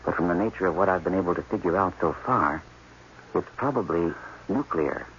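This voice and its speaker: An actor playing an embittered, fatalistic scientist held captive, speaking emphatically in a 1980s radio drama. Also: male voice, sits low, fairly quick, a bit bright. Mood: grim, hushed. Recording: dull, noisy old radio broadcast.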